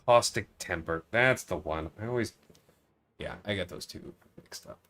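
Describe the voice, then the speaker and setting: A man's voice: fairly low pitch, normal speed, fairly bright tone. A man livestreaming a video game, chatting casually and thinking aloud as he reasons with viewers. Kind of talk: casual livestream gameplay chat.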